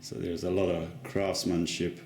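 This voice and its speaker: A man's voice: low voice, little pitch movement, moderate pace, darkish tone. A man interviewing in casual, reflective conversation. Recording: echoey room, faint music.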